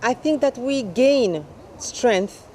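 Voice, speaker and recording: A Haitian-born woman speaking earnestly in an interview, stressing key words and slowing down on them. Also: a little high, expressive, mellow, slight background noise. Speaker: founder of a cultural society. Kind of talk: interview answers.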